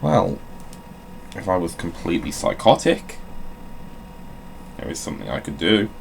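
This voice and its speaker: A man's voice: deep voice, very animated, moderate pace, vocal fry, slight background noise. A man hosting with a dry, self-mocking manner, moving between theatrical storybook reading and casual, sweary rambling. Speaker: host of a gaming channel.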